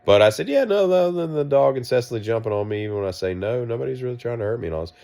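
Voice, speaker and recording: A man with a deep voice, sick and coughing, telling a rambling, wry story in a vlog. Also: animated, rapid-fire, gravelly, darkish tone. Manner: wry, self-mocking, meandering asides. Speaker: vlogger.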